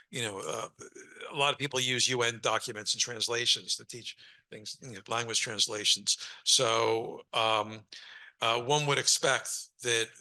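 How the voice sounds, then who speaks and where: A man on a conference panel speaks casually and loosely. His voice is very bright, and he keeps adding "you know" as he talks. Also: medium pitch, poor audio. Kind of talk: panel discussion.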